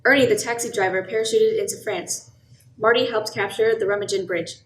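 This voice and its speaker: A woman's voice: mid-range voice, steady tone, fairly quick, a bit bright, lightly acted. A woman playing the storytelling narrator of an amateur radio play, reading scripted narration. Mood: narrating. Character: storybook narrator recounting a small town's wartime years. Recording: echoey room with background noise.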